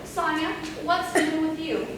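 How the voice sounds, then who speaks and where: Woman playing a high-voiced, vain movie star, echoey stage. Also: fairly even intonation, unhurried. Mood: grandiose. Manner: theatrical, self-absorbed, grand. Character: vain, self-dramatizing movie star woman in her forties. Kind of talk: stage play acting. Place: stage in a large hall, far from microphone. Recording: distant, echoey, noisy stage recording.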